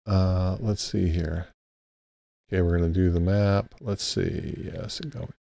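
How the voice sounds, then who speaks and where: Male host, low gravelly voice, unhurried and halting, casual live-stream walkthrough, dry close mic. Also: fairly even intonation, warm tone, dry recording. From Texas. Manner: halting, thinks aloud with many restarts and fillers.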